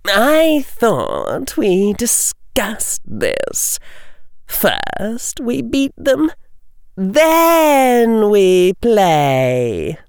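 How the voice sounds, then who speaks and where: A man's voice: high-pitched, wildly swooping pitch, very slow, clean tone, no room sound, studio quality, voice pushed up, heavily acted. A man voicing a vicious older teenage girl bully with a mock-sweet, menacing manner.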